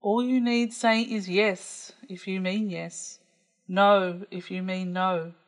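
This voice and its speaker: A woman reading a gospel text aloud in a measured, clearly phrased way. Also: medium pitch, fairly even intonation. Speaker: scripture reader.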